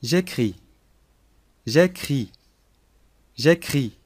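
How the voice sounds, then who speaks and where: A man reading short phrases slowly and clearly for learners, repeating each sentence three times. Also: mid-range voice, lively intonation, a little mellow, no echo, studio quality. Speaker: language lesson voice.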